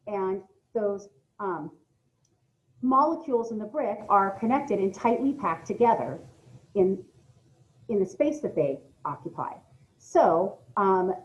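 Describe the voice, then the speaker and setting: A woman's voice: mid-range voice, lo-fi sound. A woman with an American accent reading a lesson aloud as a science teacher, explaining things directly to her students with informal asides. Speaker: middle school science teacher.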